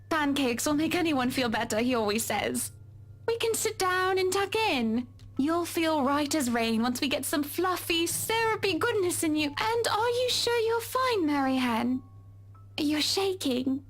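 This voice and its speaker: A woman voicing a genteel, solicitous wealthy wife in a visual novel, chatty and motherly. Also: sits high. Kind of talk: visual novel game voice acting.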